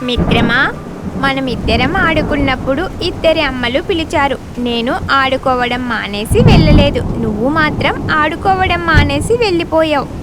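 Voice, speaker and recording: A woman's voice: sits high, normal speed, heavy background noise, lightly acted. A woman voicing a playful, stubborn young boy calf in a children's cartoon. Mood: sulky, reproachful.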